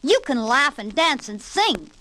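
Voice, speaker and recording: Woman as a high-voiced, sensible little pig. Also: very animated, unhurried, sharp tone, heavily acted. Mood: scolding. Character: sober, busy, sensible male pig brother. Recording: 1960s vinyl record with hiss and pops.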